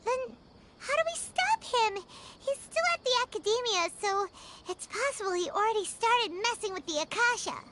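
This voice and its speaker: A woman voicing a tiny childlike fairy companion in a video game, excitable, blunt and exclamatory. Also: very high-pitched, moderate pace, slight background noise, heavily acted. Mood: worried. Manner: exclamatory, refers to self in third person. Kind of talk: video game character acting.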